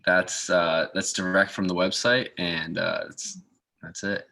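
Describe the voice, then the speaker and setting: A man's voice: deep voice, steady tone, measured pace, vocal fry, pushed and bright. A man from the northeastern United States speaking as a guest in an informal interview, explaining things. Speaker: guest speaker, garden maintenance assistant.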